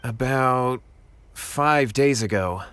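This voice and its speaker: A man's voice: mid-range voice, slow, fairly bright tone, smooth. A man playing an anxious, deferential young martial artist in an English video game dub, speaking in an earnest, hesitant, pleading manner. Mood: worried. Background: music.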